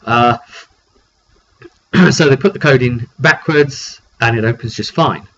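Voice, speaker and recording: A man's voice: medium pitch, a little slow, a bit bright. A British man, a game master, casually recounting a tabletop roleplay session in a chatty, rambling way and acting out what players said. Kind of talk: casual storytelling monologue. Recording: dull lo-fi mic with faint noise.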